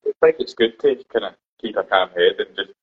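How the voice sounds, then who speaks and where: A Scottish man in a band, speaking calmly and quietly as an interview guest. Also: lowish voice, fairly quick. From Scotland. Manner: calm, quiet, few words. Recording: dull lo-fi sound.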